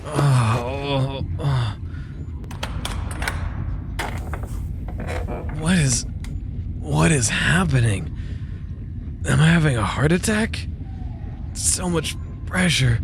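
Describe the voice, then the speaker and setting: A man's voice: mid-range voice, takes it slowly, vocal fry, pauses often, background noise. An actor playing a lonely, sickly, heartbroken young man in a comic dub, earnest and emotive. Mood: panicked, pained. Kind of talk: dramatic comic dub acting. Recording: long reverb, music behind.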